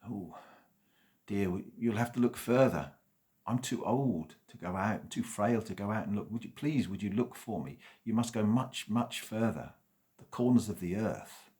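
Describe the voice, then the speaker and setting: A man's voice: fairly low pitch, lively intonation, a little mellow, close to an everyday voice. Man doing a frail old master. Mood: pleading. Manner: slow, hesitant, stammering.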